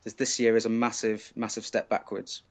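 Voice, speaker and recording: A man on a panel giving animated, emphatic opinions, often repeating words for stress. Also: lowish voice, fairly quick. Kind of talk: opinionated music commentary.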